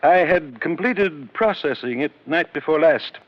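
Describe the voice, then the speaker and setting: An anxious male scientist from a 1950s radio play. He is hesitant and flustered, with a fairly high voice. The sound is dull and dated. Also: lively intonation, measured pace. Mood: explaining anxiously. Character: anxious, trusting male doctor, a research scientist. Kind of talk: 1950s radio drama acting. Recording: dull vintage radio recording.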